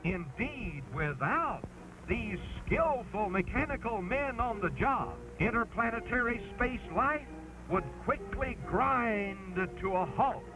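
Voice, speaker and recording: A man narrates an old-fashioned children's adventure as a dramatic reading. His voice is pitched strikingly high, with emphatic, theatrical phrasing. Music runs clearly behind him. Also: expressive, takes it slowly, poor audio. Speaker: narrator, live stream host.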